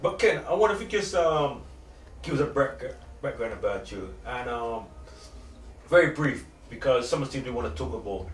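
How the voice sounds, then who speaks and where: A man's voice: medium pitch, animated, moderate pace. A man hosting an informal interview, effusive and encouraging, often chiming in with agreement. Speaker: interviewer, host. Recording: echoey room, distant mic, clear background noise.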